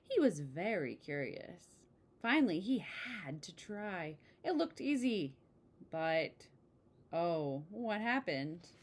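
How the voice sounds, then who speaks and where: A woman reading a children's picture book aloud in an expressive way, with casual asides. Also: lowish voice, takes it slowly. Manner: expressive, exclaiming story delivery. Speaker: storybook reader.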